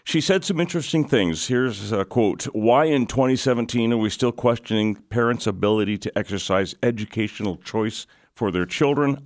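A man's voice: on the low side, no echo, studio quality. A man in his fifties on a radio show, sharing news items and chatting in an easygoing way. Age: 50s. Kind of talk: casual radio chat, reading news quotes.

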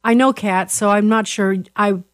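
A woman hosting an interview show, chatting with her guest in a halting way, full of restarts. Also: mid-range voice, steady tone, no echo, studio quality. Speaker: interview host.

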